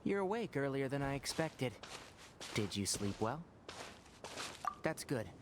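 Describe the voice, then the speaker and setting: An actor playing a calm, measured young ranger scholar who explains things like a lecturer. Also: male voice, mid-range voice, slight background noise. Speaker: ranger character. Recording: game audio with background ambience.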